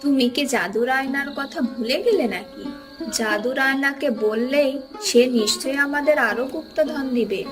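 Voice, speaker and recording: A woman acts out an eager, coaxing village wife in a cartoon fable. Her voice is on the high side and persuasive, with music clearly playing behind it. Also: moderate pace, close to an everyday voice. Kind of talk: Bengali cartoon moral-story voice acting.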